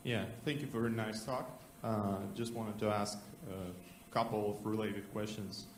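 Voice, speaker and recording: A man puts a spontaneous question from a conference audience, rambling and halting as he goes. His voice is fairly low, and the hall echoes around it with a faint noise behind. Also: fairly even intonation.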